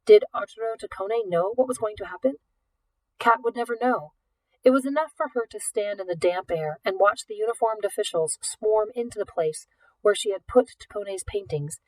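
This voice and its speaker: A woman reading a novel aloud in an expressive, deliberate storytelling manner. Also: medium pitch, no room sound, distant mic, poor audio. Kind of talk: scripted audiobook narration.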